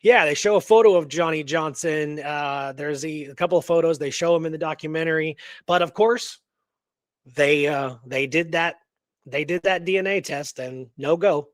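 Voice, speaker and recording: Male host, slightly high-pitched voice, dry recording, informal storytelling, slangy and emphatic. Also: normal speed, no room sound. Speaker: radio show host. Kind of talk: casual talk retelling a historical case.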